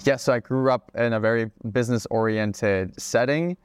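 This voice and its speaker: A young man raised in Hawaii, a guest on a casual podcast interview, talking in a relaxed way with plenty of fillers and restarts. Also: mid-range voice, moderate pace, clean tone. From Czech Republic, raised in Hawaii. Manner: relaxed, many fillers and restarts, laughs often. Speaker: guest, travel creator.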